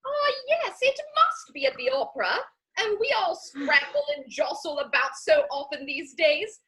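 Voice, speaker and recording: Gushing Victorian society lady for stage comedy; chatty, very bright, animated. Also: high-pitched, normal speed, rough recording. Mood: gossipy, airy. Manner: gushing, rambling, theatrical. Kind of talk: stage comedy dramatic reading.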